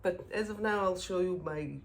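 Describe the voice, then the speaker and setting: A middle-aged woman from Israel, a native Hebrew speaker with an Israeli accent, hosting a casual knitting podcast in halting English full of fillers as she searches for words. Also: on the low side, slight background noise.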